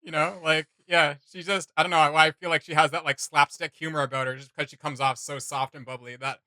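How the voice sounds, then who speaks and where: A man hosting a reaction video, chatting casually in an animated, rambling, slangy way. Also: on the high side, a little fast, no room sound.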